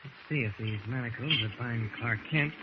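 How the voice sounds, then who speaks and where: A man's voice: mid-range voice, normal speed, natural voice. An actor playing a mild, evasive man with a secret identity in an old radio drama. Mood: secretly musing. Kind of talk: 1940s radio acting. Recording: dull, very hissy old radio recording.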